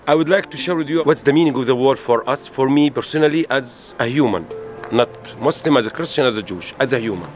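A middle-aged man from Palestine, guiding visitors outdoors in halting English. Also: mid-range voice, soft background music. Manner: halting non-native English, reading out figures. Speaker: tour guide. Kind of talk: explaining to visitors. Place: outdoors by a street wall.

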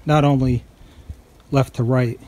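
A man narrates casually as he shows a how-to job on a farm. His voice sits at a medium pitch, and his delivery is folksy and plain-spoken. He is recorded outdoors on a handheld camera, with light noise in the background. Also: steady tone, a little slow, a little mellow. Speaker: farm vlogger.

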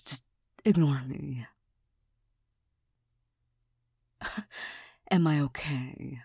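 A woman playing a breathy, proud young girlfriend. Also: sits low, dry recording, studio quality, full character voice. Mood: shy, hesitant. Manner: soft half-whispered delivery with stammers and nervous laughs. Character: proud, touchy young girlfriend hiding tenderness. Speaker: voice actor in a listener roleplay. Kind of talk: whispered girlfriend comfort roleplay.

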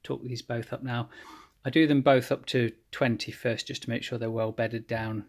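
Husky-voiced man explaining an engine build. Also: mid-range voice, fairly even intonation. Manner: methodical, plain explanatory, pauses mid-phrase. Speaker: home mechanic rebuilding an engine. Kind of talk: hands-on workshop walkthrough. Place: cluttered home workshop bench.

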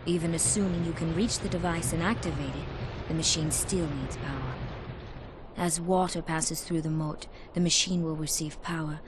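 Woman playing a low, haughty fantasy castle mystic. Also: steady tone, moderate pace, very noisy, lightly acted. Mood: solemn instructing. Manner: solemn, haughty, portentous. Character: stern, fatalistic, mysterious woman of a dark fantasy castle. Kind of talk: dramatic video game acting. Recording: game music behind. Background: music.

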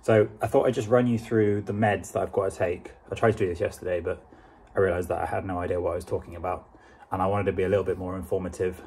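A young man with a British accent talking casually to camera in a halting, understated way, with a husky, gravelly voice. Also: low voice, steady tone, a little mellow, slight background noise. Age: young adult. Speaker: vlogger. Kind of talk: casual vlog talk to camera.

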